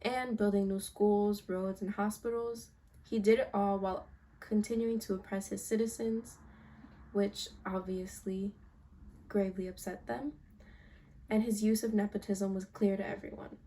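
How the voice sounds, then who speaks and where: A young woman giving a class presentation over slides, speaking casually with some halting and fillers. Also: mid-range voice, steady tone, dark tone, slight background noise, rough recording. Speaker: student presenter.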